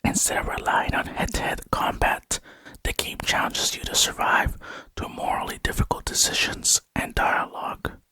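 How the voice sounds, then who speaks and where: Whispering man, crisp close-up ASMR narration. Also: moderate pace, sharp tone, no echo. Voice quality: breathy unvoiced whisper. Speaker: gaming video host. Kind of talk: ASMR whispered narration over gaming video. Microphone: close microphone, dry sound.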